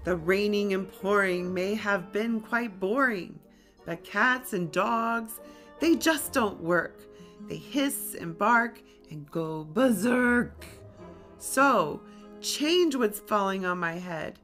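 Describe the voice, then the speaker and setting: A woman voicing an earnest young storybook witch, chanting rhyming spells in a drawn-out singsong way. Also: mid-range voice, expressive, fairly bright tone, faint music behind. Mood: incanting.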